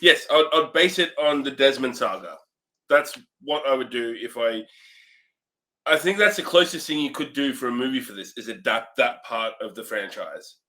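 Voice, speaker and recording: Male co-host, crisp bright tone at an average pitch, informal conversational review style. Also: expressive, normal speed. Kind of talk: casual movie review chat.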